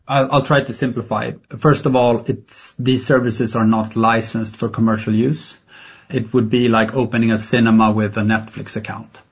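A man's voice: medium pitch, flat delivery, normal speed, husky, dull audio. A middle-aged man from Sweden, a native Swedish speaker with a Swedish accent, answering interview questions in a candid, reflective, conversational way. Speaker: tech entrepreneur interviewed as a guest.

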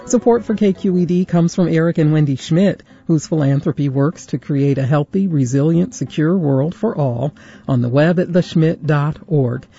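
A woman reading scripted station announcements in a steady announcer cadence. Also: low voice, dull audio. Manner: smooth, read-aloud announcer cadence.

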